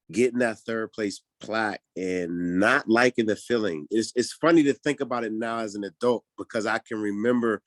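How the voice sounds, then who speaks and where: Deep-voiced relaxed man, podcast guest. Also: dry recording. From Pittsburgh, United States. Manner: relaxed and easygoing, many ums and restarts. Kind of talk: casual interview talk.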